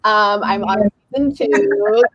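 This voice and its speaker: A woman book vlogger chatting casually and slangily in an animated way on a livestream video call. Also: mid-range voice, takes it slowly, crowd noise. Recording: dull, close, dry call audio.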